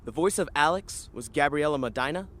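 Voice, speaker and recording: A man's voice: on the high side, animated, fairly quick, a bit bright. A man reading scripted end credits for a radio story as its announcer.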